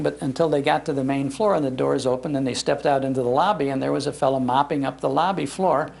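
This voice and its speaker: An American man telling anecdotes to a gathering as an animated raconteur. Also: mid-range voice, gravelly. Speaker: speaker, radio historian. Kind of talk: anecdotal storytelling in a public talk. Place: public library talk.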